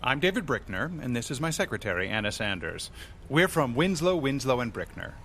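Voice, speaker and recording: An actor playing a pompous, pushy businessman in a radio drama, wordy and theatrically smooth. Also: mid-range voice, very animated, fairly quick, fairly bright tone, a little hiss or hum. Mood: ingratiating.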